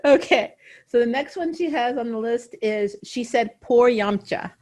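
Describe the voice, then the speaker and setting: A woman's voice: a little high, a little slow, darkish tone. A middle-aged woman hosting a casual, chatty interview. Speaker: interview host. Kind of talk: casual chat.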